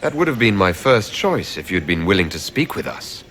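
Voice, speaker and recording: An actor playing a pragmatic, unruffled adventuring companion in a video game. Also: male voice, low-pitched, sing-song, normal speed, fairly bright tone, slight background noise. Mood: dry, matter-of-fact.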